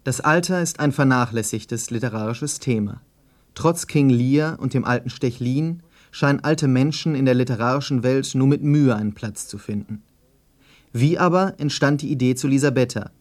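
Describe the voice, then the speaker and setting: Male interviewer, medium pitch, scripted radio questions and narration. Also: moderate pace, darkish tone.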